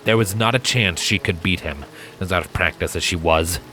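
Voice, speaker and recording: A man reading a dramatic fantasy story as a scripted narrator, measured and deliberate. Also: deep voice, pushed and bright, soft background music, rough recording. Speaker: fan fiction narrator.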